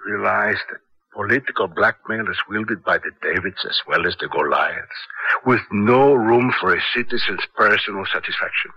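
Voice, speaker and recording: An actor playing a scheming, cold-blooded colonel, raspy and commanding, in a 1970s radio drama. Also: male voice, lowish voice, moderate pace, bright, forceful tone, dry recording, full character voice. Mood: smooth scheming. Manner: calculating, commanding. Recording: dull vintage radio recording.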